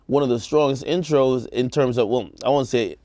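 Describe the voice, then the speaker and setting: A man's voice: medium pitch, moderate pace, dark tone, no room sound, studio quality. A man co-hosting a casual music discussion show, hedging and qualifying his opinions as he talks. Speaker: talk show co-host.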